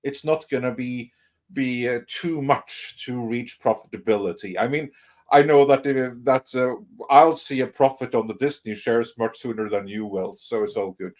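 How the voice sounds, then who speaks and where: A man hosting a casual live stream panel, speaking in a halting, wry way. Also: medium pitch, a little mellow. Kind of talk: casual chat.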